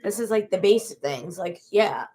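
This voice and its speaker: A woman's voice: mid-range voice, expressive, normal speed, warm tone. Rambling woman in her twenties. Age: 20s. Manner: rambling, repeats herself, many fillers and restarts. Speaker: vlogger. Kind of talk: casual personal talk to camera.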